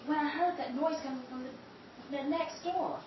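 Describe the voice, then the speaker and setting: A woman's voice: high voice, normal speed, close to an everyday voice. A woman playing a nervous, stammering wife frightened by noises at night, in a 1930s-style radio drama. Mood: anxious. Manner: stammering, anxious. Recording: very echoey, distant, dull and noisy.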